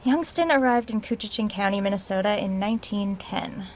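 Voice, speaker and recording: A woman's voice: medium pitch, brisk, a little hiss or hum, close to an everyday voice. An actress playing an uneasy woman researcher who records an audio diary in a haunted house for a horror drama. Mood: measured reading. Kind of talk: horror audio drama, diary-style narration and reading aloud.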